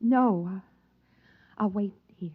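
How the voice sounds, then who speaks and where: Breathy, wistful young wife. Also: female voice, mid-range voice, slow. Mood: evasive, wistful. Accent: American. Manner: soft, hesitant, dreamy, breathy. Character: wistful, timid young pregnant wife, secretly yearning. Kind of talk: mid-century American sci-fi radio drama acting. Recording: muffled vintage radio recording, music behind.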